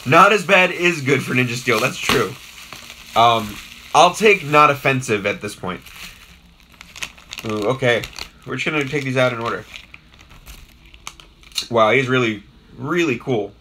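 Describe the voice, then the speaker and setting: A young man talks loosely on a live stream, his voice raspy and hoarse from a worn-out throat. His tone is bright and forward, and he wanders between thoughts with lots of filler and restarts. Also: medium pitch, expressive, measured pace, long pauses, a little hiss or hum, rough recording. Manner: rambling, with many ums and restarts, greeting viewers in chat. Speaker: YouTube host doing a live unboxing. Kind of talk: casual live-stream chat.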